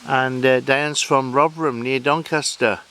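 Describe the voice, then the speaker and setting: A man with a Sheffield accent on an outdoor ghost hunt, calling out to spirits in a halting way, full of hesitations. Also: medium pitch, steady tone, a bit bright. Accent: Sheffield Yorkshire. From Sheffield, England. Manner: hesitant, halting, many fillers. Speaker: paranormal investigator. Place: outdoors at a woodland waterfall site.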